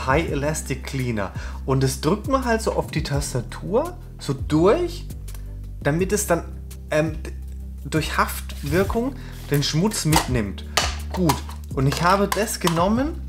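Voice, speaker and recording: A woman with a deep, low voice hosts a home tech review, talking in a loose, rambling way. She keeps tacking on little "right?" asides and trails off mid-thought. Music plays clearly underneath. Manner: rambling, chatty, hedging with "ne?" and fillers. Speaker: tech channel host. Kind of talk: casual product review vlog. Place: indoors at home, moving between rooms.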